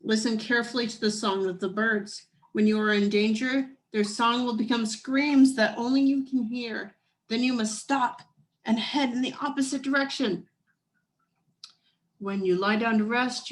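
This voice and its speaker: A woman voicing a solemn, motherly ghostly guide in a children's storybook, giving measured, urgent instructions. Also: a little high, steady tone, natural voice, poor audio. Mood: solemn warning.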